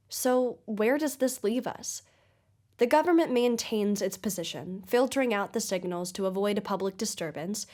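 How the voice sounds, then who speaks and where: A woman's voice: medium pitch, a little mellow, close to an everyday voice. A woman playing a probing investigative mystery show host in an audio drama, speaking in a polished, formal, inquisitive manner. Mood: intriguing, earnest. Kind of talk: audio-drama broadcast interview and narration.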